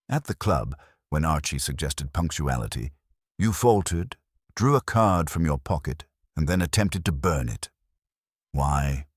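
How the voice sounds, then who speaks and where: Male narrator as unyielding genius sleuth for mystery audiobook: formal, commanding, very low, with emphatic swings in pitch. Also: husky, no room sound, clean studio sound, heavily acted. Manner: slow, measured syllables, formal and commanding. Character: imperious, calm, stern male detective genius, pedantic and unyielding.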